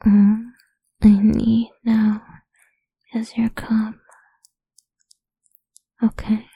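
A woman's voice: on the high side, completely flat delivery, very slow, husky, dry recording, dull audio. A woman performing an intimate audio roleplay, mostly whispering close to the microphone in a dominant, teasing manner.

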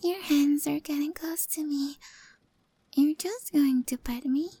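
A woman voicing a shy, timid young stray cat girl in an ASMR roleplay, talking softly and hesitantly, often in a whisper. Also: high-pitched, unhurried. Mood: soft, tender.

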